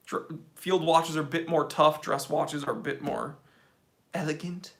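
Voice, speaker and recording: A man hosting a casual live-stream Q&A, reading chat questions aloud and answering in a relaxed, slangy way. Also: fairly high pitch, measured pace, gravelly. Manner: laid-back, slangy, reads chat aloud.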